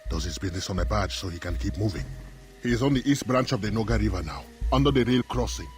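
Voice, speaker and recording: A low male voice over faint music. An actor plays a calculating warlord boss in a video game, measured and formal, with cold menace and command. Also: expressive, darkish tone, lightly acted. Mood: cold, menacing. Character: calm, calculating African warlord boss giving orders, coldly menacing. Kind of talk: video game cutscene acting.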